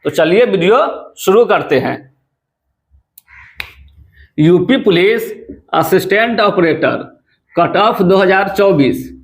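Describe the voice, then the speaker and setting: A man's voice: high-pitched, animated, slow, long pauses. A man with an Indian accent presenting an informational explainer to viewers, often repeating phrases for emphasis. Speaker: presenter of exam news videos. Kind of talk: informational explainer to camera or voice-over, addressing viewers.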